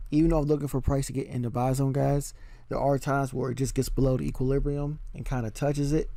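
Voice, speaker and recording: A man walks through trading charts in a casual, filler-heavy voice-over, checking in with "okay?" as he goes. His voice is warm and dark, and he keeps it level with little rise and fall. The recording is dry and close. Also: mid-range voice, no room sound. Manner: relaxed, filler-heavy explaining with frequent "um" and "okay?". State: slightly losing his voice. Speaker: forex trading educator. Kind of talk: casual screen-share chart walkthrough.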